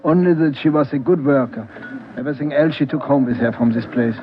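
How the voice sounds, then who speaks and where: A man playing an earnest, saddened restaurant boss in a 1940s radio drama, halting, emphatic and given to repeating himself. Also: mid-range voice, close to an everyday voice. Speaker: murdered waitress's employer. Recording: thin, phone-like 1940s radio recording.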